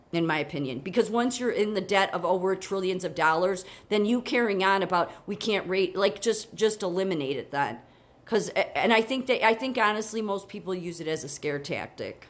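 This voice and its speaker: Woman, lower voice, congested with a cold, casual talky commentary, lots of restarts and "okay?" asides. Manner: chatty, frequent restarts and asides, asks "okay?". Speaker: political commentator. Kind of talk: casual commentary reading a news article aloud.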